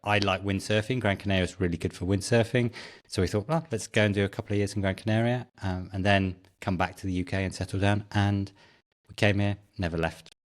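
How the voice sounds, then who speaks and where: A middle-aged British man speaks as an interview guest. His voice is fairly low, and he talks in a casual, anecdotal way. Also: steady tone, fast talker. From Britain. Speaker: podcast guest, tech founder.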